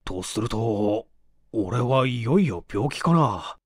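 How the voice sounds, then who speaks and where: A man's voice: mid-range voice, lively intonation, slow, breathy edge, no echo, clean studio sound, pitched below the performer's own voice. A man voicing an uneasy, self-deprecating, forgetful man, hesitant and musing, in a dramatic audiobook reading. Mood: resigned.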